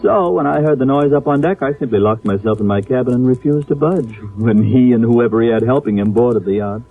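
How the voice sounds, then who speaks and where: A man's voice: mid-range voice, fairly quick, close to an everyday voice. A man acting in an old-time radio drama as a stuffy, indignant, prissy fellow with pompous, fussy diction. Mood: prissy, disdainful. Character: stuffy, prissy, indignant male yacht passenger. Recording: muffled tinny old radio recording.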